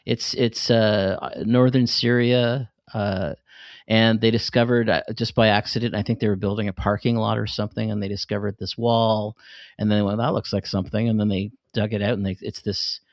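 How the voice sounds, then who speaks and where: A man's voice: on the low side, little pitch movement, moderate pace, no echo. A man, middle-aged, talking casually on a podcast and explaining things in an enthusiastic, rambling way. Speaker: podcast host and fiction writer.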